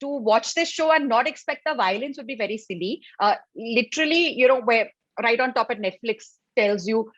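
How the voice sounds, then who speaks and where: A woman with an Indian English accent co-hosts a review podcast in a lively, opinionated way. Her voice sits a bit high and is recorded dry, with a dull, lo-fi sound. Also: moderate pace, hardly any pauses, dry recording. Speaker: podcast co-host. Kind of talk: casual review chat.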